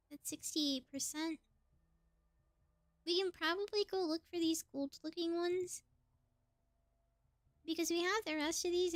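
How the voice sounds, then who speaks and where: A woman's voice: high-pitched, no room sound. A woman doing casual live game commentary as a streamer, chatty and thinking aloud as she plays.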